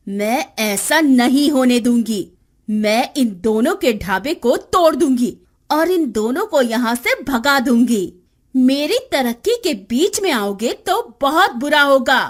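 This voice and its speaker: A woman playing a jealous, scheming eatery owner in an animated moral story, with a dramatic, spiteful manner. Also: sits high.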